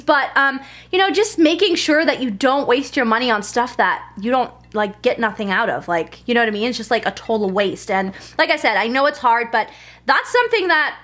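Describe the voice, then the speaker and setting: A woman's voice: high-pitched, a bit bright. A woman in her late twenties from Germany, a native German speaker, chatting to camera in a candid, casual way with lots of fillers. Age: 20s. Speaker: lifestyle vlogger.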